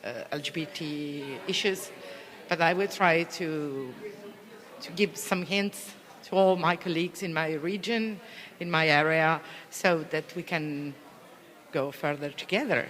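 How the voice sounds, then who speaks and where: A woman police officer from Italy with an Italian accent, speaking English haltingly with many restarts as she searches for words. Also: low voice, fairly bright tone, long reverb tail. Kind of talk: interview answers, conference delegate.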